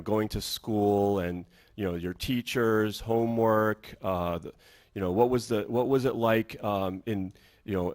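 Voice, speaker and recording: Male teacher from Boston leading a panel: lowish, gravelly voice, loose and rambling delivery, often circling back to rephrase a question. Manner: rambling, searching for words. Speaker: teacher moderating a student panel. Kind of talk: unscripted panel introduction and questions.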